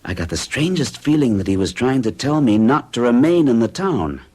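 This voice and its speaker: A man's voice: medium pitch, sing-song, normal speed, darkish tone. An actor playing a young man who narrates his strange experience in a radio drama, earnest and hesitant. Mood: recounting. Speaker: narrator and patient.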